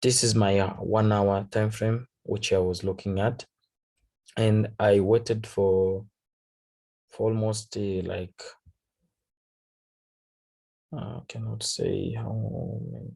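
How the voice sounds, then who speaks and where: A man with a Tanzanian accent, a native Swahili speaker, casually talking through a trade recap in halting English, searching for words. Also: fairly low pitch, steady tone, creaky, mellow. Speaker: forex trader. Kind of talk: casual trading recap over a chart.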